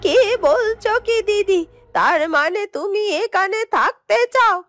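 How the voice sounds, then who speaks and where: A woman voicing a scheming, greedy younger sister of a witch in a fairy-tale cartoon, fretful and excitable. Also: very high-pitched, a little slow, faint music behind, full character voice. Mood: puzzled questioning.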